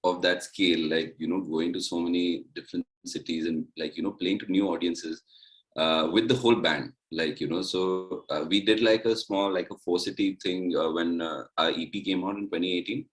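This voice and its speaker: A man's voice: low voice, moderate pace, low-quality recording. A young man from India, a musician guest in a casual interview, rambling with lots of "like" and "you know". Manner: relaxed, rambling, heavy use of "like, you know".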